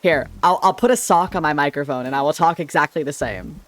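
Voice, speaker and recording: A man chatting casually on a livestream with a slightly shrill, sharp-sounding voice, rambling playfully and tripping over his words. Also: fairly quick, faint music behind. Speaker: streamer. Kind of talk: casual livestream chat with viewers. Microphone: desk microphone.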